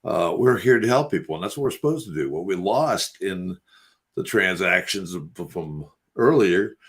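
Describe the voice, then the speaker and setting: A man from West Virginia with a very low voice talks earnestly on a podcast. He rambles and preaches about helping people. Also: expressive, normal speed, a bit bright. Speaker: host. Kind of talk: casual spiritual talk on a radio podcast.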